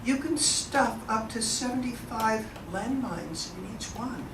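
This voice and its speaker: A woman's voice: a little high, expressive, measured pace. A woman moderates a live event, chatty and eager, often turning to the audience with questions. She is recorded from a distance in a large, echoing room, with a constant background noise under her voice. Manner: chatty, enthusiastic, engages the audience. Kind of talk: informal presentation to an audience.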